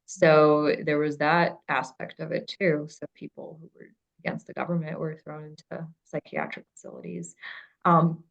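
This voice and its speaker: Low gravelly woman from Ukraine. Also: flat delivery, normal speed, lo-fi sound. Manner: thoughtful, hesitant with many fillers. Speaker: psychiatrist. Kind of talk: casual professional discussion.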